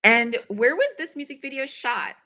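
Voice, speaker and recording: Female host, crisp and bright, upbeat interviewer with a scripted promo read. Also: high voice, expressive, normal speed. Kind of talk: interview hosting.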